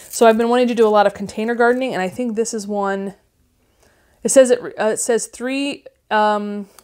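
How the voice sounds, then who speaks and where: A woman in her thirties chatting casually at home, gushing with excitement as she unboxes and reads out seed packets. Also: fairly high pitch. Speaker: gardening vlogger. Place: living room at home, indoors.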